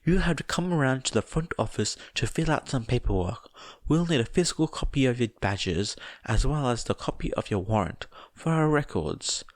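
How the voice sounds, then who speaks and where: A man's voice: mid-range voice, expressive, moderate pace, dry recording, pitched above the performer's own voice, lightly acted. A man voicing a small, genteel animal school principal, cheerful, formal and politely cunning, in an audiobook. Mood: brisk, polite.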